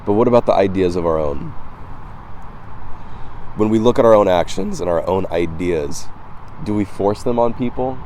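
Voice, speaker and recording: A slightly hoarse man vlogging outdoors, talking in a casual, reflective, halting philosophical monologue with repeated words. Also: deep voice, warm tone, slight background noise. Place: outdoors by the water.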